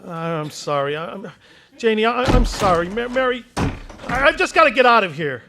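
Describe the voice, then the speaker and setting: A man's voice: high voice, sing-song, moderate pace, noisy background. A frazzled, stammering father. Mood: desperate. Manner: agitated, stammering. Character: desperate, frazzled family man near breaking. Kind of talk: radio play acting.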